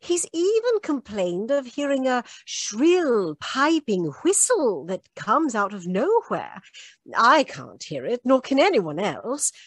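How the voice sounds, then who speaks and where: A woman plays a clever, modern-minded Victorian lady in a radio mystery. Her voice is fairly high and swoops expressively, formal yet emphatic. The sound is dry and close. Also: sing-song, takes it slowly, no echo, full character voice. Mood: worried. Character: clever, earnest, advanced young Victorian gentlewoman. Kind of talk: old-time radio mystery acting.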